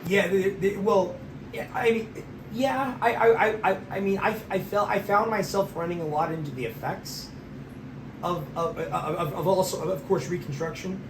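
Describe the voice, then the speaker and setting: A man hosting an interview who stammers and circles back over his words. His voice sits a little high. It is recorded in an echoing room with a clear layer of background noise. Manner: halting, stammering, repeats words. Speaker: interview host. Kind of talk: casual interview.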